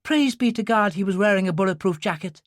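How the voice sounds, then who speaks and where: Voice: medium pitch, fast, dry recording, clean studio sound, voice pushed up, lightly acted. A narrator voicing a devout, shocked nun in an audiobook thriller. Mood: shocked relief. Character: devout, shocked Irish nun, a grown woman.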